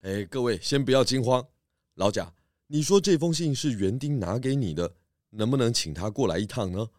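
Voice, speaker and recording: An actor playing a calm, methodical detective chief with measured, polite, reassuring questioning. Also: male voice, medium pitch, no room sound, close to an everyday voice. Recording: dry close voice over faint music.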